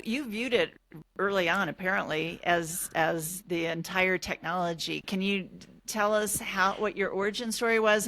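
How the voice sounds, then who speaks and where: A woman's voice: on the low side, a bit bright. A woman hosting an interview, asking curious questions while thinking aloud. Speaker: podcast host. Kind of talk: conversational interview.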